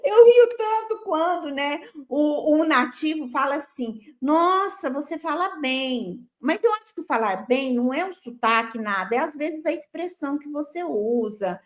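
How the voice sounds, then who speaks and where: A woman's voice: high-pitched, lively intonation, unhurried, hardly any pauses. A woman co-hosting a casual chat, playful and exclamatory, speaking straight to the viewers. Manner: chatty, playful, exclamatory, addresses viewers directly. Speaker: co-host. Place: lives in Italy.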